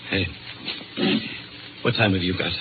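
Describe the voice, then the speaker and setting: An actor playing a shaken, punctilious American man in a 1950s radio drama, halting and theatrical. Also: low voice, steady tone. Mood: dazed, tense. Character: shaken doomed male patient, punctilious and obsessed with time. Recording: tinny, noisy old radio recording.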